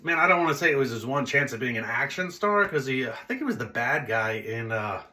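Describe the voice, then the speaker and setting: A man's voice: mid-range voice, expressive, brisk, bright, forceful tone. A man hosting a movie review video in a casual, unscripted style, blunt and conversational, wandering into tangents.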